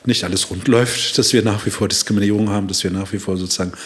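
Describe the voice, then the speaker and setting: Deep-voiced older professor explaining. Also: male voice, fairly even intonation, very fast. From Turkey. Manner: lecturing, acts out quoted dialogue, frequent fillers. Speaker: psychology professor, guest. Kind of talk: explanatory interview talk.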